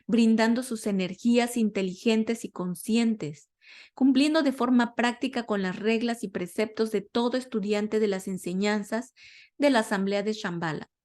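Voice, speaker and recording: Woman teaching a relaxed spiritual class. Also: a little high. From Latin America. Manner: conversational, self-correcting, searching for words. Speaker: metaphysics teacher. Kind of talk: informal spiritual class talk.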